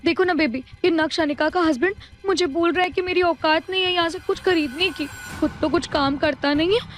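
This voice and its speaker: An actress playing a snobbish, taunting, spoilt young wife in an audio drama. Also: female voice, very high-pitched, brisk. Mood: whiny, scornful. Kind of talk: Hindi audio drama acting.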